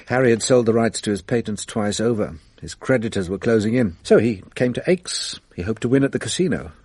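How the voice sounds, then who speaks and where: An actor playing a shrewd, self-assured French police detective in a radio drama, explaining in a measured, theatrical way. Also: male voice, lowish voice, lively intonation, a little mellow, husky. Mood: grave, explaining.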